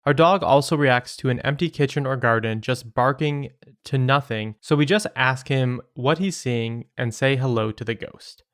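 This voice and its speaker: A man's voice: medium pitch, moderate pace, fairly bright tone, no echo, studio quality. A man co-hosting a podcast, chatting casually and reading listener stories aloud in an easygoing way. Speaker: podcast host.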